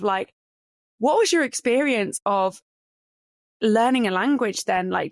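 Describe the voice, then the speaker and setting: A woman hosting a podcast as a coach, reflecting back feelings and asking gentle questions. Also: medium pitch, gravelly, no echo, clean studio sound. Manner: validating, reflective. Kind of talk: empathetic interview questioning.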